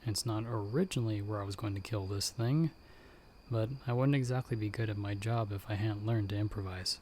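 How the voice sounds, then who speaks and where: A man playing a calm, protective monster hunter in an ASMR audio roleplay, giving hushed, measured, reassuring instructions. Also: lowish voice, a little hiss or hum, natural voice. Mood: steady, guiding. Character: calm, protective male monster hunter guiding someone by telepathy.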